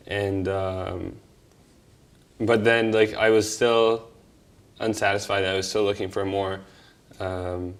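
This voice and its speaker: A young man from Egypt recounts his life in a loose, unscripted way. He stops and restarts often, leans on fillers, and drops the odd curse. His voice sits a little low. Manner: halting, many fillers and restarts; candid, offhand swearing. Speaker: interviewee telling his life story. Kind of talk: casual personal storytelling.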